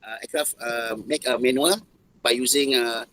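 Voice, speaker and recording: A man with a Malaysian accent giving explanatory interview answers in a halting manner with non-native phrasing. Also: mid-range voice, steady tone, a bit bright, slight background noise. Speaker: interviewed air traffic control expert.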